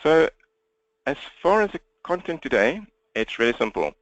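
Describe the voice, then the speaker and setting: A man with a South African accent presenting an interactive webinar, talking fast in a direct way and engaging listeners with questions. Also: fairly high pitch, sing-song, no echo, lo-fi sound. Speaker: webinar presenter and coach for accountants. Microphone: computer webinar microphone, presenter standing.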